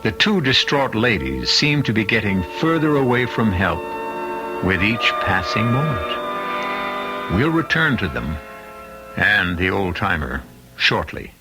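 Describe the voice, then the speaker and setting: Slow, low-voiced male suspense narrator. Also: very animated. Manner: measured, ominous. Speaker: radio drama host and narrator. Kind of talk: scripted suspense narration, old-time radio. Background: clear music.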